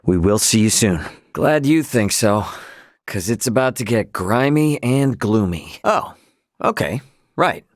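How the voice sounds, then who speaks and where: Brash loyal young male captain in a video game, earnest n casual, pitch jumps around, dry close sound. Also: measured pace, no echo. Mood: casual, reassuring. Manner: informal, earnest, expressive.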